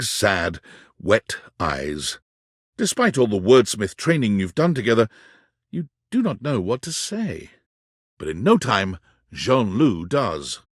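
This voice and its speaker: An actor playing a wry, dramatic video game narrator, reading scripted narration in a husky voice. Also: male voice, mid-range voice, dry recording, studio quality. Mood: wry, deadpan.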